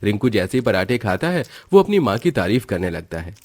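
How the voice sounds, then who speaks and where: A man's voice: on the low side, big pitch swings, a little fast, darkish tone, dry recording. Male story narrator, dry close sound. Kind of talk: scripted cartoon story narration.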